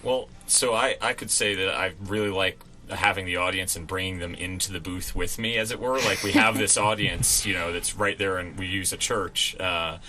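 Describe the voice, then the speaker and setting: A man's voice: low-pitched, steady tone, moderate pace, few pauses, a crowd in the background, poor audio. A man being interviewed on college radio as a radio play actor, talking in a chatty, explanatory way.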